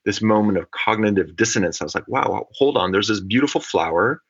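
Low-voiced thoughtful man, lo-fi sound. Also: husky. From California. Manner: thoughtful, measured, with restarts. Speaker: ecologist guest. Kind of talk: conversational podcast interview. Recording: dull lo-fi audio.

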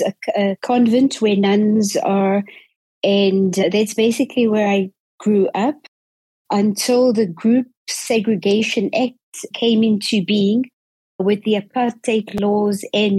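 A woman from South Africa speaks as an interview guest about her upbringing. She talks very slowly and hesitantly, repeating words as she searches for them. Her voice is mellow and husky, recorded dry. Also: medium pitch, no echo, poor audio. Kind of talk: casual interview testimony.

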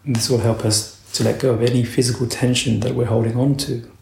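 A man guides a meditation in a mid-range voice with a noticeable gravelly fry. He speaks in slow, measured phrases and leaves long pauses between them. The sound is roomy, with clear echo around the voice. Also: mid-range voice, level tone, darkish tone. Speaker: meditation teacher.

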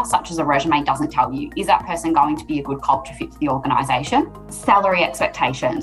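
A woman working as a recruiter, giving conversational advice on a podcast. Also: sits low.

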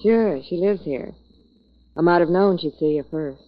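An actress playing a wary, flirtatious, tough-talking dame in a 1940s radio noir drama, cool and insinuating. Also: female voice, fairly low pitch, moderate pace, lightly acted. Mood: flirtatious, wry. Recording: muffled vintage radio recording.

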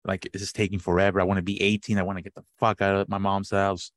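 A man's voice: low-pitched, flat delivery, fast, no echo. A man in his late twenties co-hosting a podcast, chatting casually, rambling and swearing freely with frequent laughs. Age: 20s. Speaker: podcast co-host. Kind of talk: casual chat.

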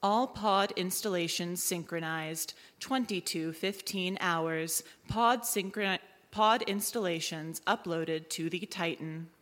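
A woman acts as an obliging shipboard artificial intelligence in a sci-fi audio drama. The delivery is slow, measured and formal, with courteous service. It rings through a reverberant live hall. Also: on the low side, a bit bright. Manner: measured, formal computer-like phrasing. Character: obliging spaceship artificial intelligence, chipper in hospitality mode. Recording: echoey hall, live stage recording.

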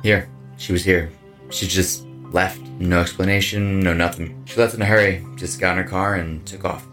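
A man's voice: deep voice, steady tone, normal speed, a bit bright, faint music behind. A man playing an evasive, cocky metal musician in a horror audio drama, talking in a casual, guarded way.

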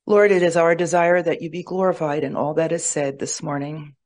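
A speaker leading a reverent, measured opening prayer in a church hall. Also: mid-range voice, level tone, darkish tone. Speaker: prayer leader.

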